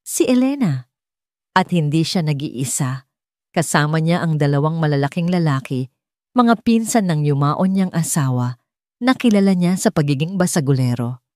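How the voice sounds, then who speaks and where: A woman's voice: sits low, expressive, moderate pace, a little mellow, no room sound, studio quality. A woman narrating a scripted dramatic story as an audiobook reader.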